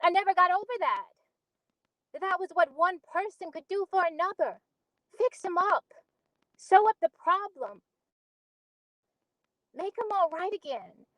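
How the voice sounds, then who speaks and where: A woman's voice: very high-pitched, expressive, unhurried, pauses often, fairly bright tone, no echo, pitched above the performer's own voice, heavily acted. A woman performing a dramatic stage monologue as an earnest young woman recalling childhood, on muffled lo-fi audio. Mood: earnest, reflective.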